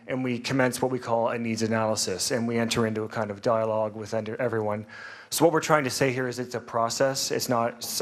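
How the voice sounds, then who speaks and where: Measured man addressing a meeting. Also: medium pitch. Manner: measured, bilingual, with fillers. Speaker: Red Cross representative. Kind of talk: public meeting statement.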